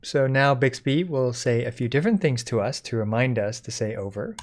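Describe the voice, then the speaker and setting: A man giving a casual software code-along, thinking aloud informally as he types. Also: medium pitch, lively intonation, fairly quick. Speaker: software tutorial instructor.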